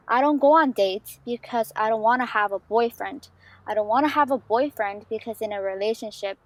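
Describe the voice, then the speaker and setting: A woman's voice: medium pitch, dry recording, natural voice. A young woman playing a cautious, anxious seventeen-year-old girl in a student audio drama, hesitant and defensive.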